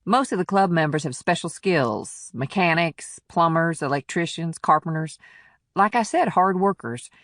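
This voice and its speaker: A man's voice: a little high, expressive, moderate pace, fairly bright tone, no echo, studio quality, pitched above the performer's own voice. A man voicing a firm, plainspoken small-town policewoman who defends her townsfolk in audiobook dialogue. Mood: defensive.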